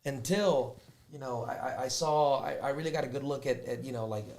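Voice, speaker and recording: Easygoing musician, middle-aged. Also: male voice, mid-range voice, brisk, a little mellow. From San Antonio, Texas. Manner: easygoing, rambling, with many restarts and you knows. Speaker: musician guest.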